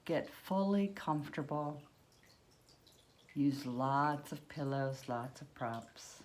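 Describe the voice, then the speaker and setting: A woman leading a guided yoga nidra meditation, speaking unhurriedly in short phrases with long pauses between them. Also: deep voice, animated, darkish tone, slight background noise.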